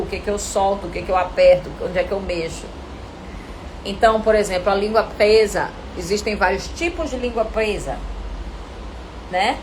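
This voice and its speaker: A woman with a Brazilian Portuguese accent coaching actors in an informal live lesson, animated and didactic, often asking questions and answering them herself. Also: medium pitch, background noise. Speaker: acting coach teaching a live online class.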